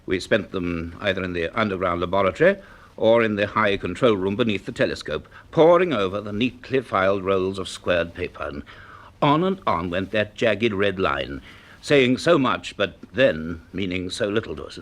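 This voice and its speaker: An earnest, low-voiced scientist, very expressive. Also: male voice, moderate pace. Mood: measured, reflective. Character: earnest male scientist narrator, educated, easily astonished. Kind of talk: radio drama narration and dialogue.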